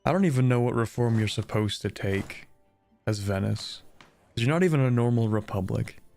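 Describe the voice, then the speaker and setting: A man chats casually over a strategy game with faint music behind him. His mid-pitched voice often drops into creaky fry, and he jokes in a laid-back, slangy way. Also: normal speed, a little mellow. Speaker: gaming video creator. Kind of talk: casual chat while playing a strategy game.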